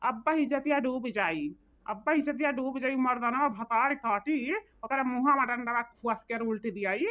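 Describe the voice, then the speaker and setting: Tinny radio-like sound, woman acting as bossy village woman, cartoon comedy, raised pitch, eastern Hindi-belt accent, indignant, insistent scolding. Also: moderate pace, close to an everyday voice. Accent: eastern Hindi belt (Bhojpuri-Awadhi region). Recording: tinny phone-like band-limited audio.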